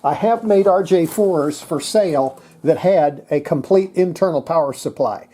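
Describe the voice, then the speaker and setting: A presenter giving a casual hands-on technical demonstration, thinking aloud in a halting way. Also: medium pitch, warm tone, a little hiss or hum. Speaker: presenter demonstrating antique radios.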